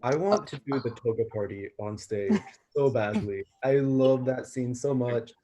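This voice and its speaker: A man with a medium-pitched voice speaks informally as a cast member. He talks in a loose, conversational style. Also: expressive, unhurried, warm tone. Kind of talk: casual cast Q&A chat.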